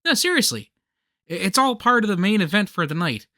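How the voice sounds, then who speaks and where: A male actor playing an excitable, nostalgic, nerdy man in a radio comedy play, with theatrical, geeky banter. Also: sits high, a little fast, no echo, clean studio sound, exaggerated voice. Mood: playful banter.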